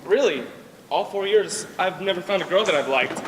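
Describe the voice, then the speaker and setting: Young guy playing smitten college boy, high voice, talks fast, earnest stage acting, far off in a noisy echoey hall. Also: animated. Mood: earnest, shy.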